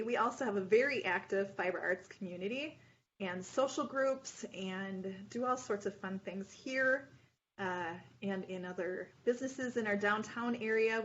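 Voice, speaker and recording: A chatty woman runs a yarn shop and talks about it on a video call. Her voice sits at an ordinary pitch and she laughs often. The audio comes through slightly muffled. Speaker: yarn shop owner and hand dyer. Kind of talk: casual video-call chat.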